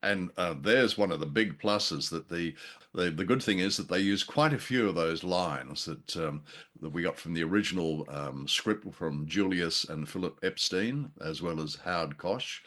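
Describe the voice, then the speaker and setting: A middle-aged man co-hosting a casual film discussion, halting and jocular in his delivery. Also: low-pitched, fairly bright tone. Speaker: podcast host.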